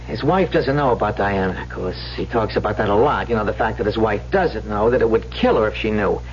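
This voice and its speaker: A streetwise, greedy hustler, lively swooping delivery, old radio drama, muffled hissy recording. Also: male voice, on the low side, brisk. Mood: scheming. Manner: slangy, streetwise, wheedling. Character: cocky, greedy small-time swindler sweet-talking his girlfriend.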